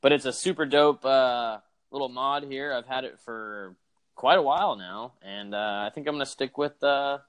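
A man's voice: mid-range voice, moderate pace, clear, resonant tone, no room sound. A young man co-hosting a wrestling podcast, laid-back and full of fillers, laughing easily. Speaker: podcast co-host. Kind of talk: casual chat.

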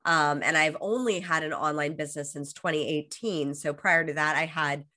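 A woman's voice: deep voice, moderate pace, bright, forceful tone. A woman in her thirties from Los Angeles with an American accent, a coach speaking as an interview guest, talking fluently and upbeat while getting over a cold. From Los Angeles, USA. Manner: fluent and upbeat, restarts phrases often. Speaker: guest, high performance coach. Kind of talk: casual interview talk.

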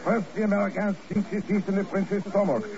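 An actor playing a jovial, effusive French casino assistant manager, a former bouncer, with a French accent in 1950s radio drama style. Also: male voice, sits high, level tone, fairly quick, darkish tone. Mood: gleeful, relishing. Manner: effusive, relishing, courteous. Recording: muffled old radio recording, crowd murmur.

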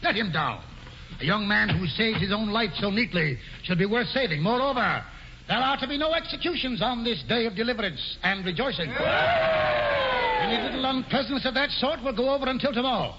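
A pompous scheming governor. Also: male voice, extremely high pitch, very animated, fairly quick. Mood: oratorical. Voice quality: grandiose, mock-genial, sly. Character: pompous scheming male colonial governor, middle-aged. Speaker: governor Stuyvesant. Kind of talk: 1940s radio acting. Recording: muffled old radio broadcast, faint hiss.

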